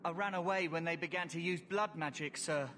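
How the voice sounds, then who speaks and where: An actor playing a frightened, earnest young runaway mage in a video game, timid and pleading. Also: male voice, a little high, brisk, bright, forceful tone, in a large hall, slight background noise. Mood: anxious. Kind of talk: dramatic video game acting.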